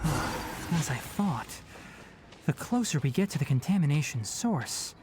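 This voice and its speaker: A man playing a young fox-eared forest ranger in a video game, composed and sensible, with a measured, matter-of-fact, instructive delivery. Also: a little high, very animated, darkish tone, a little hiss or hum. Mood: serious, cautionary. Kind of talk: video game character dialogue.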